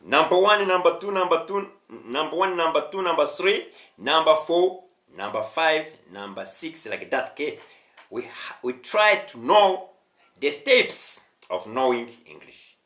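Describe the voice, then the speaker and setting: A man's voice: fairly high pitch, big pitch swings, measured pace, fairly bright tone, roomy sound. A young Rwandan man with a Rwandan accent teaching a spoken English lesson, emphatic and often checking in with his listeners. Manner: emphatic, checks in with "huh?" and "hmm?".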